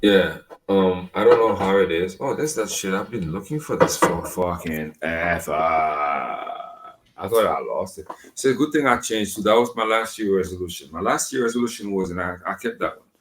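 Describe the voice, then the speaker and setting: A man's voice: low-pitched, lively intonation, moderate pace, a little hiss or hum. A man co-hosting a podcast, chatting casually in a rambling, profane, laid-back way. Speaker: podcast co-host. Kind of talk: casual chat.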